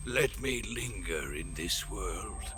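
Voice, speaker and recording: An actor playing an ancient, weary and menacing sniper in a video game, with solemn theatrical delivery. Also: male voice, low-pitched, expressive, slow pace, pushed and bright, full character voice. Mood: solemn pleading. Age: older. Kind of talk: dramatic video game cutscene acting. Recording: music behind the voice.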